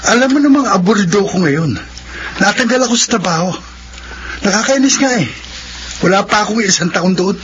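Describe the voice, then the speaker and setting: A man's voice: sits high, expressive, a little fast, pauses often, highs cut off, noisy background. A man playing an evasive, flustered young boyfriend in a radio drama, hesitant and given to stammering. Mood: irritated. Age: young adult. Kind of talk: Filipino radio drama acting.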